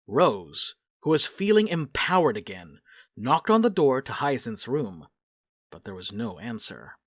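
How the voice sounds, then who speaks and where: A man's voice: medium pitch, big pitch swings, measured pace, dry recording, clean studio sound. A man narrating a dramatic reading as a scripted storyteller.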